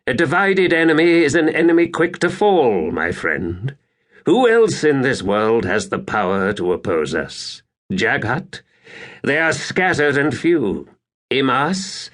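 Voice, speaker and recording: A narrator as a grand, slow-spoken warrior commander. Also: male voice, medium pitch, very animated, fairly bright tone, pitched above the performer's own voice. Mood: confident, scheming. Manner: grand, formal, declamatory. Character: victorious, silver-tongued tall grim male warrior commander. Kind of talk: dramatic audiobook character reading.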